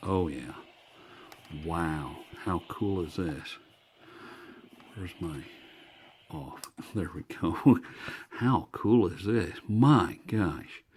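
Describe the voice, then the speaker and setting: A man's voice: low voice, lively intonation, drawn-out words, pauses often, a little mellow. An American man giving a casual, hands-on product review in an enthusiastic, folksy way, recorded indoors. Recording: faint background noise, indoor room.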